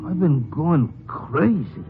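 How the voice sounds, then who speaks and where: A haunted, desperate ex-convict in old radio drama style. He stammers and rambles at a dragging pace, his pitch lurching widely as he pleads. The broadcast is narrow and noisy. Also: animated, takes it slowly. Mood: frantic, pleading. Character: desperate, unhinged older ex-convict haunted by lost money. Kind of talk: 1950s radio drama acting.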